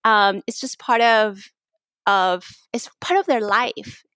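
A woman's voice: mid-range voice, slow pace, a bit bright, clear, resonant tone, no echo. A young woman from Mexico with a Mexican accent, chatting openly as a podcast guest, laughing often and restarting her sentences. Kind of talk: casual interview chat.

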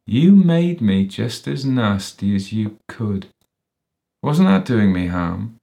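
Man acting sneering, gravelly ex-policeman villain. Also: fairly low pitch, big pitch swings, slow pace, dark tone, pitched above the performer's own voice, full character voice. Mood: bitter, rising. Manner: sneering, taunting, with sudden rises and whines. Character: menacing male ex-policeman villain, crafty, bitter and self-pitying.

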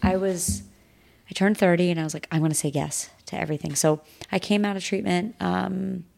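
A woman in her thirties with a low, gravelly voice. She talks earnestly as a podcast guest, thinking aloud with small restarts. The pace is unhurried and conversational. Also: steady tone, a little mellow. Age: 30s. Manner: reflective, earnest, talks through thoughts with restarts. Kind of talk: casual conversation.

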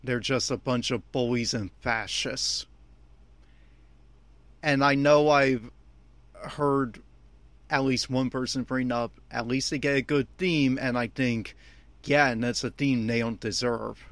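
A man's voice: mid-range voice, fairly even intonation, slow, pauses often, a bit bright, no echo. A man giving off-the-cuff, opinionated audio commentary on an anime episode, speaking emphatically.

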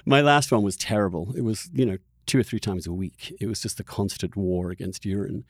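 A man's voice: on the low side, moderate pace, no room sound, studio quality. A man hosting a podcast, chatting casually and animatedly in a conversational interview. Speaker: podcast host.

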